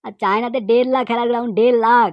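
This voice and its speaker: Woman voicing a rapid-fire, outspoken cartoon pupil. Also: fairly high pitch, little pitch movement, no room sound, dull audio, lightly acted. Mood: indignant, preachy. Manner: emphatic, repetitive, rhetorical questioning. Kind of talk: cartoon comedy monologue.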